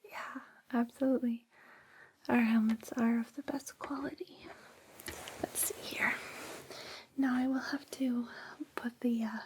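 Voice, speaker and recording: A woman performing a whispered ASMR roleplay, gentle, soothing and unhurried, close on a binaural microphone. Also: fairly high pitch, little pitch movement, a little hiss or hum.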